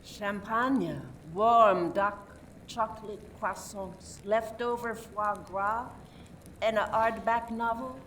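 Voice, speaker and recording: A woman's voice: medium pitch, steady tone, a bit bright, a little hiss or hum. Woman playing a distant-sounding, suspicious French inspector. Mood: theatrical, suspicious. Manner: dramatic, deliberate, accusatory. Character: suspicious, theatrical French woman police inspector questioning suspects. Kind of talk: amateur radio play acting.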